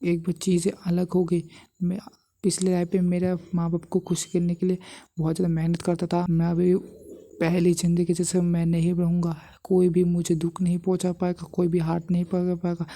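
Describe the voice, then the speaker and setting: Low-voiced, earnest young hero read by a woman. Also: completely flat delivery, moderate pace, warm tone. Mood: bitter resolve. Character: wronged, defiant young male hero, a martial student.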